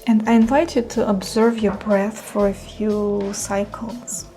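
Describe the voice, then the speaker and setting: Slow, mellow woman guiding a meditation. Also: medium pitch, level tone, faint music behind. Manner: soothing, unhurried, gentle pauses. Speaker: meditation guide. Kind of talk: guided meditation.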